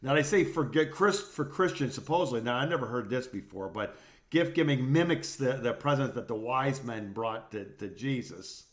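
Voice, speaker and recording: Male co-host, very lively intonation, loose conversational delivery, tends to repeat phrases for emphasis. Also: mid-range voice, normal speed. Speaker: podcast co-host. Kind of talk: casual conversational chat.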